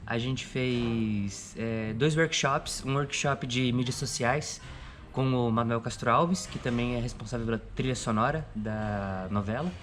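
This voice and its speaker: A man's voice: medium pitch, measured pace, a bit bright. A Brazilian man, a radio drama creator, explaining his work in conversational interview talk. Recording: music behind the voice.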